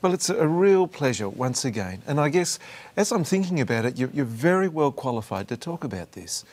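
A male host leads a studio interview with a lively, animated pitch. He introduces his guests and keeps them talking with friendly questions and short nods of agreement. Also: a little fast. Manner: friendly, affirming with short acknowledgements. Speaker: program host.

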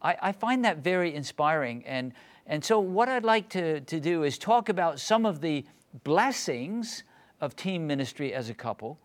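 A man hosting a conversational faith interview, speaking in a reflective, measured way. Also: fairly high pitch, expressive. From born in England. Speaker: interview host.

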